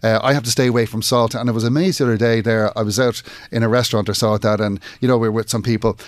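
A man, a radio interview guest talking in halting bursts full of restarts and fillers. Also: medium pitch, steady tone, no room sound, studio quality. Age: 40s. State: emotional, voice breaking.

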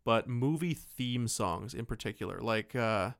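A man with a medium-pitched voice talks as a podcast co-host in a dry, close recording. His delivery is casual and conversational. He often hesitates as he hunts for the next word. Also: animated, measured pace, gravelly, a bit bright, no echo. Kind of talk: casual movie chat.

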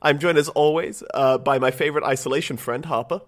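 A man co-hosting a casual podcast from his small flat, rambling a little with ums and restarts. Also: on the high side, brisk. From Australia. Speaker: podcast co-host. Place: small home flat, blanket around the mic. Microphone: home podcast microphone.

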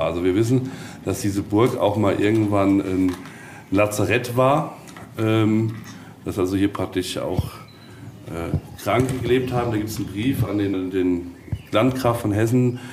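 A man giving an informal guided tour, explaining step by step in halting phrases on location in an old castle. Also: lowish voice, a little mellow. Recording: faint ambient noise on location.

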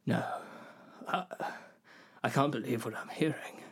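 A man's voice: mid-range voice, measured pace, vocal fry, a bit bright, breathy edge, full character voice. A man playing an earnest, disbelieving Shakespearean actor in a comedy sketch, hesitant, polite and stammering. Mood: flustered, disbelieving.

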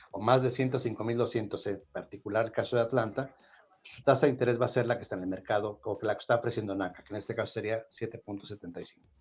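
A man's voice: on the low side, a little mellow, lo-fi sound. A man from Mexico with a Mexican accent hosting a podcast, explaining things casually and repeating himself with a frequent "¿verdad?". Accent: Mexican Spanish. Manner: repeats words, adds "¿verdad?" often. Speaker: podcast host.

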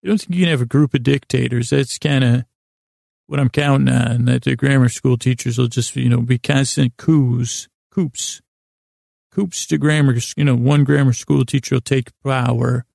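A man hosting a sleep podcast in a creaky, gravelly voice, rambling haltingly and nonsensically with apologetic, self-deprecating asides, recorded in a small closet. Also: mid-range voice, a little mellow, no echo, studio quality. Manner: halting, drawn-out, meandering delivery with repeated self-interruptions. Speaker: podcast host.

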